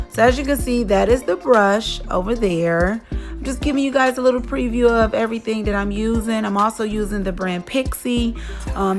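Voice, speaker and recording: Young woman beauty vlogger, casual rambling chat, a bit hoarse, faint music underneath. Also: mid-range voice. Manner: chatty, rambling, many fillers. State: slightly hoarse, losing her voice. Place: vacation lodging, indoors.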